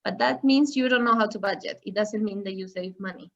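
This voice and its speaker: A woman's voice: mid-range voice, fairly quick, gravelly, fairly bright tone, muffled recording. A woman giving an online masterclass on finance, explaining step by step with examples. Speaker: finance trainer. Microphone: video call.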